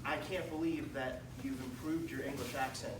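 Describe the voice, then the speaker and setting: A man's voice: medium pitch, steady tone, normal speed. A man presiding over a club meeting, giving upbeat announcements. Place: breakfast meeting hall. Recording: echoey hall, distant mic, loud noise.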